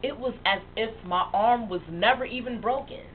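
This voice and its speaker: An actress playing a young woman who haltingly and emotionally confesses a past addiction to her best friend. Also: fairly high pitch, lightly acted. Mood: recounting. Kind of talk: dramatic monologue. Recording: tinny phone-like sound with faint hiss.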